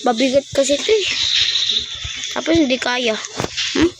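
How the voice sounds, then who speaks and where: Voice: high-pitched, moderate pace, gravelly, heavy background noise. A Filipino speaker doing casual game playthrough commentary, chatty and exclamatory, addressing viewers directly. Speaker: gaming vlogger.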